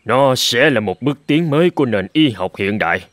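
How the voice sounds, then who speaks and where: A man voicing an earnest, hopeful middle-aged professor of medicine in a dramatic cartoon dub, speaking in a measured, solemn way. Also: medium pitch, lively intonation, fairly bright tone, close to an everyday voice. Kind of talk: dramatic animated-film dubbing.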